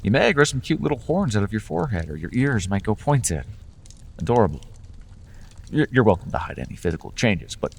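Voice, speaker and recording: A man's voice: lowish voice, sing-song, fairly quick, creaky, big-room sound, full character voice. A man voicing a playful male fae patron in an ASMR fantasy roleplay, talking in a charming, teasing, conversational way with small restarts.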